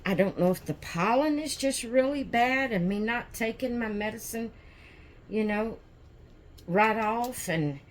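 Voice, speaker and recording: A woman chatting casually in a home vlog, hoarse from sneezing, rambling with many fillers and restarts. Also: on the high side, a little slow, a little hiss or hum. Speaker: doll channel vlogger. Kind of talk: casual chat. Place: at home indoors.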